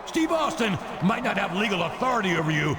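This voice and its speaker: A man's voice: high voice, very animated. Authoritative male boss showman, wrestling game promo, emphatic drawl on big words, very noisy crowd backdrop. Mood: commanding. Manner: forceful announcing with drawn-out emphasis. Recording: loud arena crowd noise behind.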